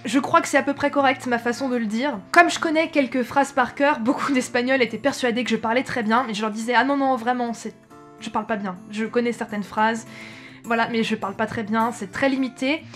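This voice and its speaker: A woman's voice: a little high, steady tone, fairly quick, fairly bright tone, faint music behind. A young woman from France chatting casually to camera as a travel vlogger, with frequent hesitations and self-corrections.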